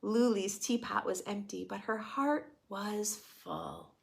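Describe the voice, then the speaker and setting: A woman hosting storytime, reading a picture book aloud to preschoolers in a gentle, expressive way and explaining it simply. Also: mid-range voice, takes it slowly, vocal fry. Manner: gentle, expressive, addressing young children with questions. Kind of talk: children's read-aloud with simple explanations and questions.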